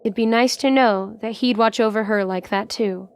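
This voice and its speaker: A woman's voice: fairly high pitch, steady tone, moderate pace, smooth. A woman reading scripted third-person narration for a fantasy audio drama.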